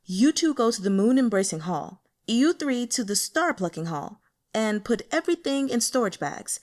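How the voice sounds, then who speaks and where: A woman's voice: fairly high pitch, big pitch swings, moderate pace, a little mellow, pitched above the performer's own voice. Bossy self-important henchman guy, done by a lady narrator, raised voice, pompous orders, fantasy audiobook. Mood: bossy, warning. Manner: commanding, pompous. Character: bossy, self-important male underling ordering servants around.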